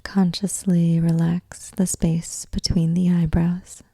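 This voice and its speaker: Woman doing guided meditation, soft breathy near-whisper, bit of creaky fry, really even and soothing, close dry sound. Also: on the low side, no room sound. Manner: soothing, unhurried, measured. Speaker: yoga nidra meditation guide.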